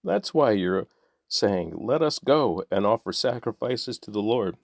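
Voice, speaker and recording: A man's voice: fairly low pitch, expressive, a little slow, gravelly, no echo, clean studio sound, voice pushed up, exaggerated voice. A man reading as a scornful ancient king. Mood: shouting. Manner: curt, dismissive orders. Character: stern, scornful ancient king, male ruler.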